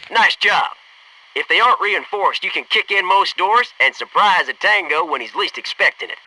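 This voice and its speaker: An American actor playing a brash, upbeat, teasing soldier in a military video game, with punchy, cocky, informal delivery. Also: male voice, high-pitched, pushed and bright, far from the mic, slight background noise, exaggerated voice, poor audio.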